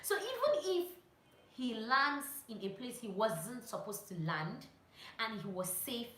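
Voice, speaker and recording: A woman giving casual, animated instructional vlog talk, telling anecdotes and repeating phrases for emphasis. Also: a little high, a little slow. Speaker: vlogger and pilot sharing training tips. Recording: roomy echo.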